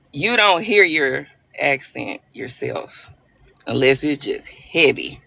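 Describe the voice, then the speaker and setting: A bubbly woman, hosting a live stream and chatting playfully with her viewers. Her voice is bright and carries a gravelly rasp. The sound is close and dry, with no room echo. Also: low voice. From Arkansas. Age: 40s. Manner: chatty, playful, self-deprecating, greets viewers by name. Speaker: livestream host.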